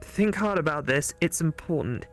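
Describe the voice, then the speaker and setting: A woman voicing a teasing, studious female ghost classmate in a visual novel, speaking deliberately and articulately. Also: sits low, animated, soft background music. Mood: serious, curious. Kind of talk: visual novel character read aloud for a let's play.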